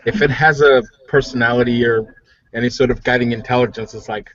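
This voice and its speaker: Husky man in casual tabletop game chat. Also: medium pitch, fairly even intonation, fairly quick, fairly bright tone, no room sound, lo-fi sound.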